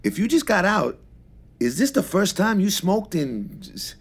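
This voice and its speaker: An actor playing an exuberant middle-aged New shop owner and stoner in an audio drama, with a husky, gravelly voice and effusive, slangy patter. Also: male voice, sits high, big pitch swings, measured pace, full character voice. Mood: excited, salesman-like. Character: exuberant, friendly neighborhood empanada-shop owner and stoner man. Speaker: actor in scripted fiction podcast.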